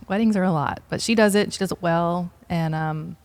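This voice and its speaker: A woman in her thirties talks as a guest in an easy, chatty way, dropping in "like" and "um" often. Her voice is fairly low for a woman. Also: fairly quick, a little mellow. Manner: chatty, fills with "like" and "um". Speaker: salon owner, guest. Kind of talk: casual interview chat.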